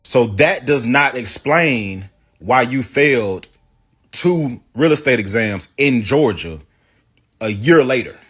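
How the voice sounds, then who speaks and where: A man's voice: mid-range voice, expressive, slow pace, a bit bright, breathy edge. A man telling a storytime straight to his viewers, emphatic and defensive, rebutting claims point by point. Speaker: storytime vlogger. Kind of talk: casual storytime to camera.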